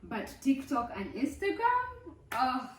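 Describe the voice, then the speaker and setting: Lively, teasing woman in an echoey room. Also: high voice, slow, far from the mic, a little hiss or hum. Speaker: co-host, wife. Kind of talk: casual couple chat.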